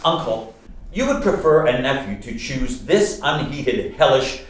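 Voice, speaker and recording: An actor playing a warm-hearted, exasperated nephew in a radio play, speaking in an earnest, wry, articulate way. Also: male voice, mid-range voice, big pitch swings, a little slow. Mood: earnest, hurt. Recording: echoey room, distant mic.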